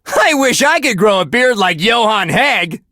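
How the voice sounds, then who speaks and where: A man's voice: sits high, big pitch swings, measured pace, pushed and bright, no room sound, clean studio sound, heavily acted. An actor playing a relentlessly cheerful male radio DJ at a death metal station, gushing and wholesome in his patter. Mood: chirpy, enthusiastic. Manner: gushing, sunny, wholesome delivery. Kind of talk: upbeat radio host patter.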